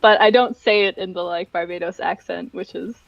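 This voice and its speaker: A woman's voice: on the low side, brisk, gravelly, no echo, lo-fi sound. A young adult woman chatting casually and giggly as a livestream guest, rambling through personal stories. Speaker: livestream guest, event director and dancer.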